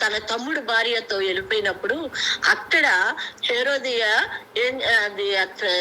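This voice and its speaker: A woman preaching a devotional Bible teaching over a conference call, haltingly explaining and asking rhetorical questions. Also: fairly high pitch, pushed and bright. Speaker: preacher sharing a Bible message. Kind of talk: extempore devotional teaching to a prayer group. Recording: noisy conference-call recording.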